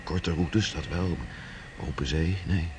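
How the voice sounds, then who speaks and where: Lovesick young seaman, low-pitched, musing, naive, historical radio drama, noticeable noise. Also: takes it slowly. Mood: hopeful. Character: lovesick, wistful, naive young sailor Gerrit. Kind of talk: Dutch historical radio play acting. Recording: noisy radio play recording.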